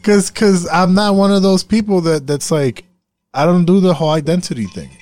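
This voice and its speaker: A man's voice: high-pitched, moderate pace, darkish tone, no room sound. Man from Puerto Rico, dry close sound. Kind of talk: casual, profane podcast-style discussion.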